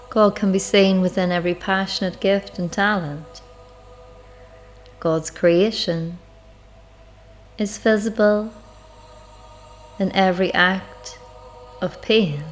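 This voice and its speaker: A woman with a lowish voice leads a spiritual guided meditation. She speaks very slowly and reflectively, leaving long, measured pauses between phrases. Music plays behind her words.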